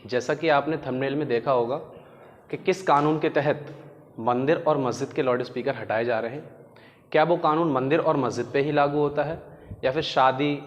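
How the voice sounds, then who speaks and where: A man's voice: medium pitch, steady tone, normal speed, lo-fi sound. A man with an Indian accent giving an explanatory lesson in a didactic way, restating terms as a teacher does. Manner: didactic, glosses terms in Hindi and English. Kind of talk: explanatory educational lecture. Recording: echoey hall-like reverb.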